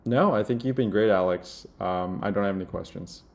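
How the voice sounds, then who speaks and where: A young man with a low-pitched voice. He talks casually, as if demoing a product indoors, and his delivery halts often on filled pauses. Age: young adult. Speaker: software demo presenter playing a job candidate. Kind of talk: casual product demo and phone screen.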